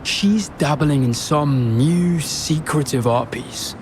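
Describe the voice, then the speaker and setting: A performer voicing a knowing exile who talks slowly and confidingly. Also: mid-range voice, background noise, natural voice. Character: slow-talking, knowing exile. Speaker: game character.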